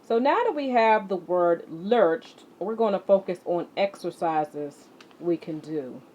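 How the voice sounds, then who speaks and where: A woman teaching children as an exercise leader, speaking deliberately and emphatically, addressing her students directly. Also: lowish voice, animated, a little mellow. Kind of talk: instructional lesson to children, read-along with warm-up exercises. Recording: muffled audio with clear background noise.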